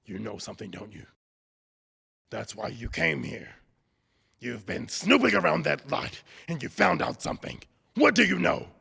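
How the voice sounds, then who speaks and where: Man playing a very gravelly, shifty neighbour. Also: on the high side, wildly swooping pitch, sharp tone, heavily acted. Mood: menacing, angry. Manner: halting, evasive, edgy. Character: shifty, menacing neighbour man hiding a guilty secret. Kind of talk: old-time radio drama acting.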